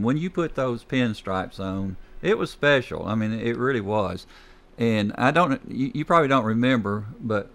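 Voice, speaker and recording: Older grandfather host, low voice, slow reminiscing talk. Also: creaky, a bit bright. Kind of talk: casual chat.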